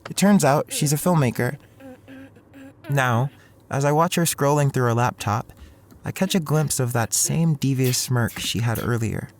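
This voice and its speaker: Young male lead played by a man: awkward, anxious college freshman narrating a drama, mid-pitched, over music. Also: unhurried, background noise, natural voice. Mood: wry, observant. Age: young adult. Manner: hesitant, stammering in dialogue. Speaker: narrator and main character. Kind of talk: first-person audio drama narration.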